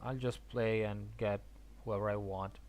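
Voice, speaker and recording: A man from Argentina chatting casually on a game stream, haltingly thinking aloud and repeating words. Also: fairly low pitch, fairly even intonation, a little mellow. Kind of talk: casual rambling stream chat about games.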